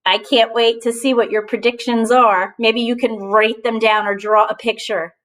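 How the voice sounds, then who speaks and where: Woman, high voice, teacher, children's storybook read-aloud, expressive, emphatic, chatty asides. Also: moderate pace, poor audio.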